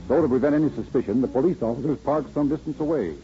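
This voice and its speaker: A man's voice: mid-range voice, very fast, dark tone, soft background music. A man with an American accent reading scripted narration for a 1930s radio crime drama. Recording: muffled vintage radio recording.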